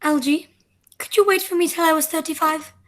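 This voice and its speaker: A woman's voice: high-pitched, steady tone, a little fast, lightly acted. A woman playing a sweet, candid, slightly impatient eighteen-year-old girl in an amateur radio play, artless and prim. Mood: sweetly impatient.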